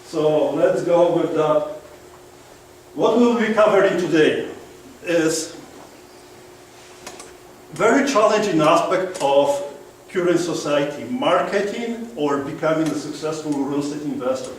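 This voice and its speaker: A man's voice: a little high, animated, measured pace, long pauses, a little hiss or hum. A man from Poland with a strong Polish accent giving a seminar talk, keeps checking that the audience agrees with him. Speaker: guest speaker. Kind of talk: seminar presentation. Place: meeting room. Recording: echoey room from far off.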